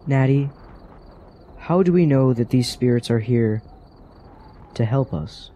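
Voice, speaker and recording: Brooding, mellow man of a fantasy land acted. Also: medium pitch, takes it slowly, slight background noise, close to an everyday voice. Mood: uneasy. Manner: brooding, hesitant. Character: troubled, doubting man of a fantasy people.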